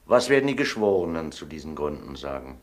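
An actor playing a methodical police inspector in a crime radio drama, measured, formal and insistent. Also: male voice, extremely low pitch, very animated. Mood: stern, formal. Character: stern, methodical male police detective inspector.

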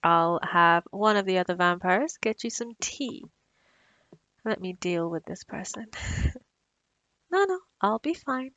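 Woman playing a creaky, slow-talking possessive vampire woman. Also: mid-range voice, animated, fairly bright tone, no echo, dull audio. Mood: sweetly doting. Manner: theatrical, slow, taunting, giggly. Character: possessive, menacing female vampire doting on her mate. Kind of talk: ASMR roleplay audio drama.

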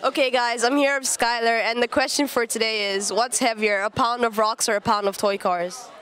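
A woman's voice: fairly high pitch, fairly even intonation, moderate pace, fairly bright tone. A teenage girl reporter with a handheld mic, asking students a quiz question in an upbeat way. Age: teens.

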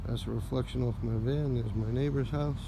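A man with a gravelly, creaky voice talks to his phone camera as he walks a quiet street at night. He rambles in a loose, casual way. A diesel engine idles in the background of a noisy outdoor recording. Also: mid-range voice, steady tone, a little fast. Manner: rambling, talking to the camera while walking. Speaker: vlogger. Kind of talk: casual narration while walking. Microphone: phone camera held while walking. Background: idling diesel engine.

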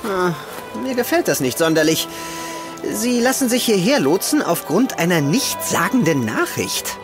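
A wary, fussing but devoted valet in an audio drama. His high voice moves in lively swoops, with music behind. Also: measured pace. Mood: worried. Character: wary, loyal, fretting gentleman's valet. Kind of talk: German audio drama acting.

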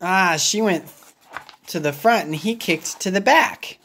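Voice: medium pitch, unhurried, a bit bright, a little hiss or hum. An English teacher reading a picture book aloud with playful murmured asides and small interjections. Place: indoors at a wooden table.

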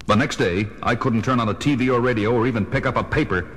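An actor with an American accent playing a stern, resolute crime-fighting detective in a formal, measured way for a 1970s children's audio drama. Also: male voice, a bit bright, close to an everyday voice. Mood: grave, intent. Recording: noisy old vinyl record, background rumble.

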